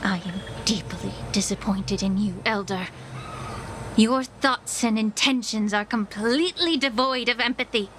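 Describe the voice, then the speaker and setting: An actress playing a composed, stern, skeptical female official in a fantasy game, speaking in a measured, formal, cutting way. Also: on the high side, background noise, close to an everyday voice. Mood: stern, disappointed. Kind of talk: scripted fantasy game voice acting. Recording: loud music behind. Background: game music.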